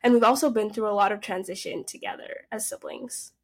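A woman's voice: medium pitch, a little fast, husky. A nineteen-year-old woman raised in the United States, a podcast guest telling upbeat stories about her family. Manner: upbeat, chatty storytelling. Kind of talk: casual interview.

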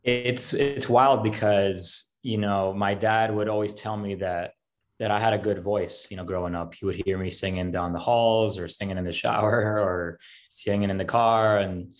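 A man's voice: on the low side, fairly even intonation, moderate pace. A man in his thirties from Miami whose first language is Spanish, chatting easygoing as a podcast guest and rambling with lots of fillers. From Miami, United States. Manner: easygoing, rambling, many fillers like "um" and "you know". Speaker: podcast guest, country singer. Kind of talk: casual interview chat.

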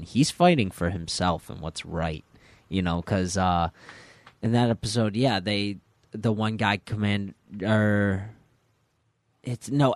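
A man's voice: lowish voice, no echo. A man around twenty-one co-hosting a podcast, rambling and laughing often in casual chat. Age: 20s. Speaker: podcast co-host.

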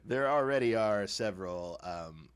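A man co-hosting a podcast, chatting casually and comically as a fan, with animated, informal, chuckling banter. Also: fairly low pitch, a little slow, clear, resonant tone. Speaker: podcast co-host. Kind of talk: casual comedic fan discussion.

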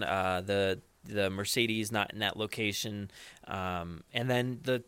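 A man chatting casually as a podcast co-host, enthusiastic and often halting as he searches for words. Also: on the low side, fairly bright tone, clear, resonant tone, dry recording.

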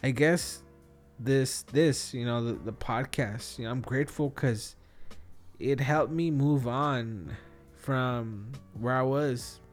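A man's voice: medium pitch, takes it slowly, faint music behind. A man in his thirties chatting casually and solo as a podcast host, thinking aloud in a rambling, slangy way. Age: 30s. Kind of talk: casual solo podcast chat, answering questions.

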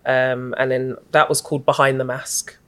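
A middle-aged woman, a guest in an interview, recounting her story in a reflective, even-toned, unhurried way. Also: rumbling low, slight background noise. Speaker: guest, community activist.

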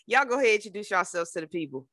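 A woman hosting an interview podcast, asking questions in a relaxed, slangy way and repeating words as she goes. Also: medium pitch, rapid-fire, dry recording, studio quality. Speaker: podcast host. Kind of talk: casual interview chat.

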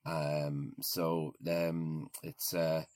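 An Irish man in his forties talking casually as an interview guest, halting and often repeating his words. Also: rumbling low, flat delivery, a little mellow, dry recording, clean studio sound. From Ireland. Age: 40s.

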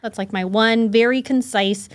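A woman's voice: on the high side, fairly even intonation. A woman in her thirties, raised in California, speaking as a podcast guest in an upbeat, friendly way. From Taiwan, raised in California. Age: 30s. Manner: upbeat, friendly, quick to laugh. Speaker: physical therapist guest. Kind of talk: casual interview chat.

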